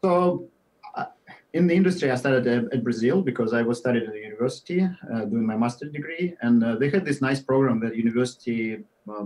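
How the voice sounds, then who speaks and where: A man in his forties with a Russian accent, answering interview questions in a halting way, with many fillers and trailing off at the ends of phrases. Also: medium pitch, mellow, poor audio. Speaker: guest software engineer.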